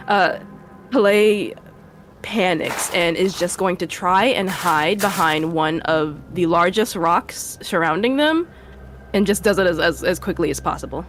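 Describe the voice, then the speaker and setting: A woman's voice: on the low side, measured pace, slight background noise. A woman playing a tabletop roleplaying game, describing what her character does. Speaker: player.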